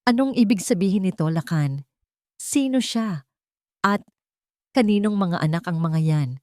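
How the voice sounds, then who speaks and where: A woman's voice: medium pitch, expressive, measured pace, darkish tone, no room sound, studio quality, natural voice. A woman voicing a jealous fiancée in a romantic audio drama, sweetly menacing, bitter and commanding. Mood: bitter, accusing. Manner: playful, syrupy sweetness laced with venom.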